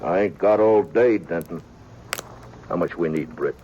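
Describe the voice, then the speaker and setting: An actor playing a rough, gleeful frontier outlaw who talks in a pushy western drawl. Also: male voice, low-pitched, unhurried, warm tone. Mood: bossy. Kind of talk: 1950s radio drama acting. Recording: hissy, dull old radio recording.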